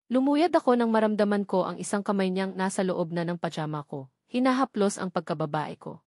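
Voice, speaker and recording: A woman's voice: medium pitch, expressive, a little fast, a little mellow, no room sound. Woman narrating a novel, very dry sound. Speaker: audiobook narrator. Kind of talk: scripted first-person romance novel narration.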